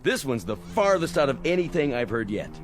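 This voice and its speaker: An actor playing a sarcastic, menacing army major in a radio drama, mocking and domineering in slangy speech. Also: male voice, medium pitch, sing-song, brisk, lightly acted. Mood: mocking, scornful. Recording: music bed behind dialogue.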